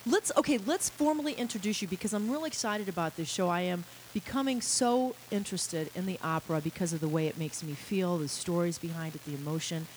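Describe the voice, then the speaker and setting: A woman's voice: fairly low pitch, brisk, few pauses, rough recording. Bubbly woman radio host, faint hiss. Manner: bubbly and effusive. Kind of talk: lively radio interview.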